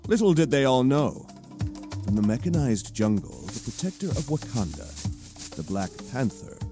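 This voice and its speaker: A man's voice: mid-range voice, sing-song, moderate pace, close to an everyday voice. A man narrating an audio drama in a dramatic, scripted style. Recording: music under the voice. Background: cinematic music.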